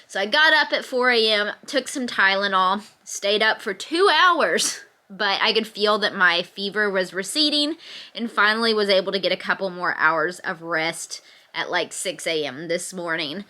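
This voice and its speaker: A woman's voice: medium pitch, a little slow, sharp tone. A young woman, sick with a fever and a little congested, chatting to the camera from bed at home and laughing through her complaints. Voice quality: occasional cough, slightly stuffy nose. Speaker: vlogger. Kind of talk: casual chat to camera. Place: in bed at home, bundled in a sweatshirt.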